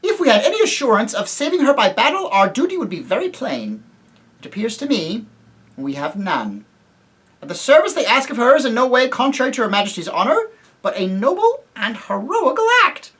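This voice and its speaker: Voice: mid-range voice, very animated, fairly quick, fairly bright tone, voice pushed up. A reader doing a courtly gallant mouse knight. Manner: formal, courtly, precise.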